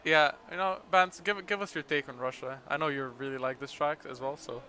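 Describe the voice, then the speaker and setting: A man calls an online sim race with his co-host, chatty and quick to laugh, often trailing off into fillers. His voice is bright and forward, with a faint noise under it on a home mic. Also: a little high. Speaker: race commentator. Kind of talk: casual live sim-racing commentary.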